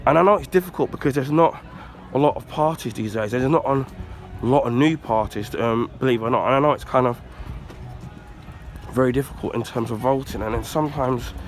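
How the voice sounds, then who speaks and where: A man from the UK with a husky, congested voice from the flu, giving a casual rambling rant with many ums and throat clearing. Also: mid-range voice, brisk, soft background music. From United Kingdom. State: husky, recovering from flu, blocked nose. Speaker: YouTuber.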